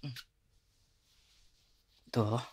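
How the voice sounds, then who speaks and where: A man playing a gruff but doting boyfriend. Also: very slow. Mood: tender, teasing. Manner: casual rough slang softened by gentle coaxing; soft close whispers at times. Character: young male boyfriend, rough-spoken but doting caretaker. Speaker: voice actor. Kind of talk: Japanese girlfriend-experience roleplay audio drama. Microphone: binaural mic.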